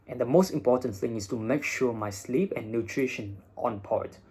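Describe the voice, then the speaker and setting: Young male vlogger, blunt, casual profanity, mid pitch. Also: moderate pace, a little mellow, slightly rough. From Vietnam. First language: Vietnamese. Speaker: IELTS teacher and vlogger. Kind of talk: casual advice monologue.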